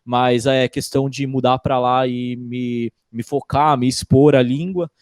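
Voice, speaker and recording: A young man from Brazil, a guest engineer in a casual interview, talking in a relaxed way with many restarts. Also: mid-range voice, a little slow, no echo, studio quality. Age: young adult.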